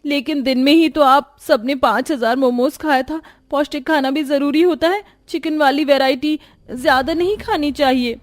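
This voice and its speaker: Woman voicing an exasperated high-pitched young daughter-in-law. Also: moderate pace, few pauses, lightly acted. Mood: pleading. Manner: melodramatic complaining. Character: put-upon young Indian daughter-in-law, dramatic, exasperated, whiny. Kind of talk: Hindi cartoon voice acting.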